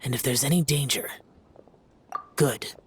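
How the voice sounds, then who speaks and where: A man's voice: medium pitch, unhurried, a little hiss or hum, natural voice. A man playing an aloof, brooding young immortal guardian in a game cutscene, curt and solemn. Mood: aloof, stern. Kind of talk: animated game cutscene acting.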